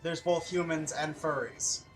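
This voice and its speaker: A man's voice: fairly high pitch, steady tone, a little slow, soft background music, poor audio. A man giving casual, sarcastic commentary while playing a video game.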